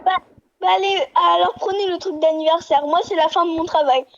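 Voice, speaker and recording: A woman's voice: extremely high pitch, fairly even intonation, fairly quick. A woman voicing a grumpy, rude middle-aged shopkeeper man in a game, speaking curtly and dismissively. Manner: curt and dismissive. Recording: dull sound with background noise.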